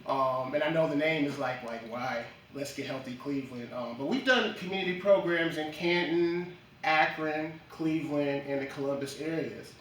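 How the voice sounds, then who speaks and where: Man from Jamaica, measured guest. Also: on the high side, fairly bright tone, a little hiss or hum. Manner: measured, many ums and uhs, lists achievements. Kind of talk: casual interview. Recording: echoey room from a distance.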